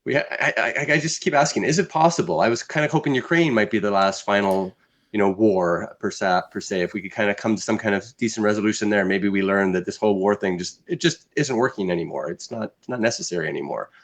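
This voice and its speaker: Fast-talking man with a low voice. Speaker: podcast co-host. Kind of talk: casual podcast chat.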